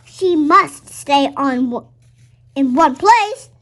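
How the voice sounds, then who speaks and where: A woman's voice: high voice, animated, takes it slowly. A young girl reading a children's picture book aloud, now and then stumbling over words and repeating them. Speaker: read-aloud show host.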